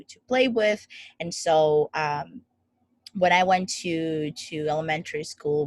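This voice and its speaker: Low-voiced young woman telling her story. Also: no room sound. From Dominican Republic. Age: young adult. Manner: earnest, grateful, thoughtful. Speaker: guest, student founder. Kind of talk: personal story in an interview.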